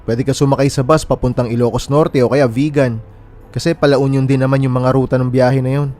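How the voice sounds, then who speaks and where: A man's voice: mid-range voice, flat delivery, a little fast, mellow, natural voice. An actor playing a friendly young man in a radio drama, talking in a casual, conversational way. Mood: worried, chatty. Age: young adult. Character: friendly, worried young man waiting at a bus terminal. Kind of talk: naturalistic radio drama dialogue in Tagalog.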